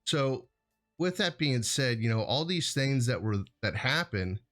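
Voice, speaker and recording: A man's voice: medium pitch, expressive, a little slow, a bit bright, soft background music. An American man hosting a show, talking in a casual, rambling, conversational way peppered with "you know". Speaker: host of a hazmat show.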